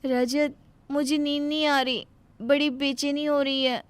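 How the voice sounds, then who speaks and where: A weary, uneasy young pregnant wife, voiced by a woman in a cartoon story. Her voice is high and her complaints come out soft and fretful. The recording is dry and close. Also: level tone, measured pace, no echo. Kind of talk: cartoon dubbing.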